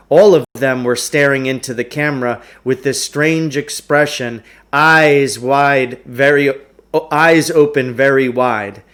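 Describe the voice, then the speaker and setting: A man's voice: medium pitch, a bit bright. A man reading a storybook aloud as a narrator, in the manner of a teacher.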